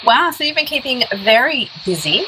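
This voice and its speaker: An Australian woman hosts a casual chat over a video call. She asks questions in a lively, bouncing way, and her voice sits fairly high and bright. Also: moderate pace, noisy background. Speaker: host. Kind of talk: casual online interview chat.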